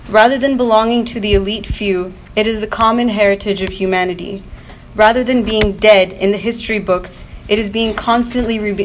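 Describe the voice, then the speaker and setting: Woman giving an earnest speech, noisy recording. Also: medium pitch. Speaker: activist panel speaker. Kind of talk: prepared political speech read aloud at a public event. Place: university event, speaking to an audience. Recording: noisy live event room recording.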